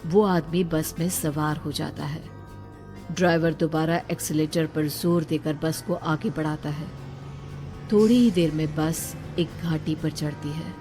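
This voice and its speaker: Low-pitched woman narrating horror stories. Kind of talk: scripted horror story narration. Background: music.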